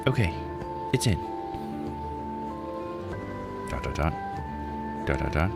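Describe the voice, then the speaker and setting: A man's voice: extremely low pitch, very animated, slow, loud music behind the voice. A man hosting a livestream of a video game, thinking aloud in casual, slangy commentary. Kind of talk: casual gaming stream commentary.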